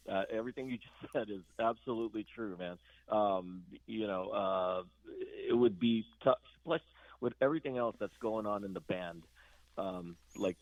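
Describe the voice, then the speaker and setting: A man with a low, gravelly voice answers interview questions, stopping and restarting often with many fillers. The sound is dry and a little dull and lo-fi, like a remote guest line. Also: no echo. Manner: halting, full of fillers and restarts. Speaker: band guitarist guest. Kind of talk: casual interview chat.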